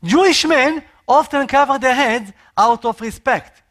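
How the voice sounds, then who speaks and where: Earnest Israeli man preaching, high voice. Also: measured pace, a bit bright. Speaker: missionary. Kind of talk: sermon.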